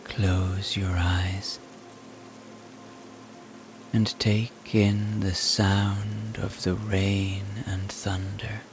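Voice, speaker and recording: Very slow, low-voiced male meditation guide. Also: level tone, music in the background. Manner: soothing, hushed, gentle. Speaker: guided relaxation narrator. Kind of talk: scripted guided meditation narration.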